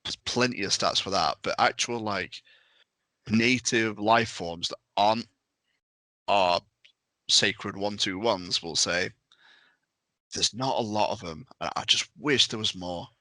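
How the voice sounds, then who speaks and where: A man's voice: on the low side, unhurried, pauses often, bright, forceful tone, dry recording. A man talking casually as a guest in a podcast discussion.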